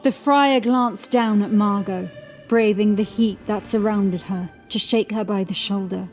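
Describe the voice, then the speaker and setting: A woman's voice: medium pitch, fairly even intonation, a little slow. Woman narrating horror over music. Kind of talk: scripted horror audiobook narration.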